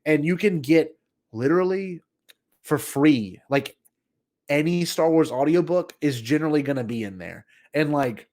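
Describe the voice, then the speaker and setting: A man's voice: on the high side, animated, normal speed, a little mellow. A man co-hosting a podcast in casual chat, talking in an effusive, emphatic way. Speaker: podcast co-host.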